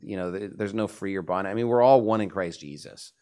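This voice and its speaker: A man's voice: low voice, brisk, dark tone. A man giving emphatic, opinionated religious commentary as a panelist in a discussion.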